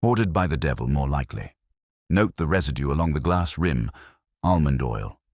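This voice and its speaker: A man's voice: rumbling low, expressive, moderate pace, dry recording, studio quality, heavily acted. A man voicing a grave, incisive detective in an audiobook, terse, cryptic and commanding. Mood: stern, commanding. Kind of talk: audiobook character acting.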